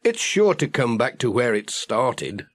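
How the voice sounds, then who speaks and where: A man's voice: mid-range voice, sing-song, a little slow, no room sound. A man voicing a friendly, easygoing village postman in a children's audiobook, homely and good-humoured. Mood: reassuring. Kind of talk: children's audiobook character reading.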